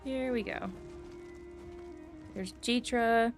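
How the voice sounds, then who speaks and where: A woman gaming streamer giving relaxed, musing, casual commentary as she plays. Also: fairly high pitch, extremely slow, faint music behind. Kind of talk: casual game commentary and reading dialogue aloud.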